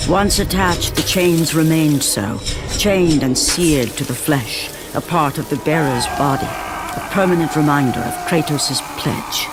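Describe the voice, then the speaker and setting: A woman playing a grave, knowing storyteller, narrating a video game myth in a measured, portentous manner. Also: deep voice, background noise. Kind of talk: dramatic scripted game narration.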